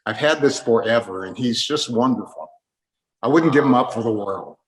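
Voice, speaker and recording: A man's voice: medium pitch, lively intonation, normal speed, poor audio. An older man with a mid-range voice hosts the conversation. He speaks casually, in the manner of an informal interview. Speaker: interview host.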